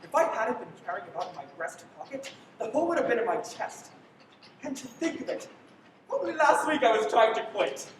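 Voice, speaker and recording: A woman's voice: a little high, sing-song, a little fast. A young woman playing a wry man on the run in a live radio play, with dramatic delivery. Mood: earnest, wry. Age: young adult. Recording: echoey, noisy livestream, distant mic.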